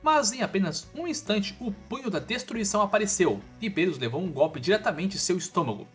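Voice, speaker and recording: A man's voice: high-pitched, animated, brisk. A man with a Brazilian accent narrating a scripted story recap in a colloquial, informal way with casual asides. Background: background music.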